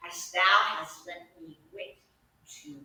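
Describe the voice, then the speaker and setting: A conflicted young gentleman lover acted by a woman declaims a treacherous Shakespearean soliloquy. The delivery is slow and deliberate, heard far from the mic in a very echoey hall with faint noise. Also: fairly low pitch, monotone. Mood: scheming. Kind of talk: Shakespeare soliloquy, stage acting. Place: indoor staged reading, recorded from audience distance.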